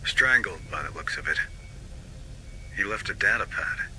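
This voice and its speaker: An actor playing a steady, matter-of-fact male marine sergeant giving his squad orders in a video game. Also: sits low, moderate pace, slight background noise, full character voice, low-quality recording. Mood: dry. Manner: commanding, dry and matter-of-fact. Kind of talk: video game cutscene dialogue.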